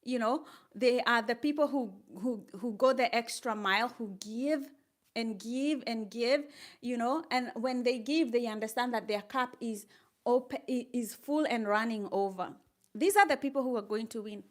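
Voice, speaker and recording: A woman's voice: fairly high pitch, moderate pace. An older woman with a Kenyan accent, chatting casually and encouragingly with viewers on a live stream, laughing often. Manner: upbeat and encouraging, reads out chat comments, laughs often. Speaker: livestreamer.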